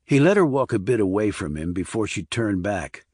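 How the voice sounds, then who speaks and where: A man's voice: lowish voice, expressive, moderate pace, a little mellow, dry recording, clean studio sound. A man reading a crime thriller audiobook as scripted narration.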